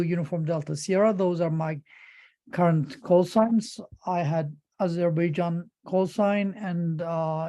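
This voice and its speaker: Middle aged turkish-accented guy giving a talk, really mellow relaxed voice, kinda halting, repeats words. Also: high voice. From Turkey. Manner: halting, repeats words while searching for phrasing. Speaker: presenter. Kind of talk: informal conference presentation.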